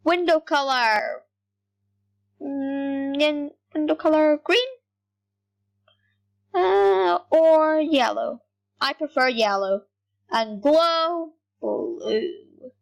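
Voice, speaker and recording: High-voiced woman narrating gameplay. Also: drawn-out words, gravelly, long pauses. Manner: excitable, rambling, talks to viewers. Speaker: gaming YouTuber. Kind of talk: casual gameplay commentary.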